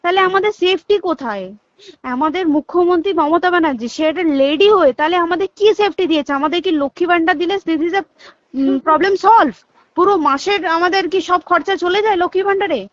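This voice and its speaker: A woman's voice: very high voice, brisk, no room sound. A young woman with a West Bengal Bengali accent talks casually but insistently on a recorded phone call, often asking emphatic rhetorical questions.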